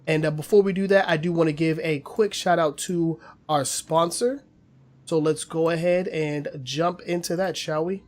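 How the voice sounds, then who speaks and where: A man's voice: a little high, fairly even intonation, moderate pace, a little mellow. A man co-hosting a casual gaming news podcast, chatty with many fillers and slightly nasal from a cold. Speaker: podcast co-host.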